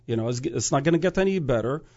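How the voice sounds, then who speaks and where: A man's voice: medium pitch, animated, fast talker, a little mellow, muffled recording. A man who grew up in Iraq, a guest speaking about prophecy in an earnest, preaching way, often restarting phrases and rising to emphatic peaks.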